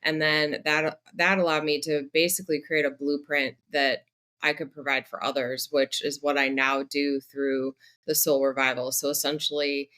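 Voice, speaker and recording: Woman podcast guest, calm reflective talker, smooth flat delivery. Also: deep voice. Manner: measured, reflective, with frequent ums. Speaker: podcast guest, grief coach. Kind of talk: conversational interview answers.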